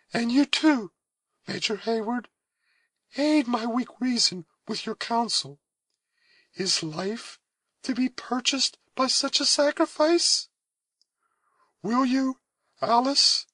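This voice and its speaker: A man's voice: pushed and bright, no echo, heavily acted. A man voicing a proud, solemn young woman pleading in anguish in a read-aloud story. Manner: high, impassioned, pleading.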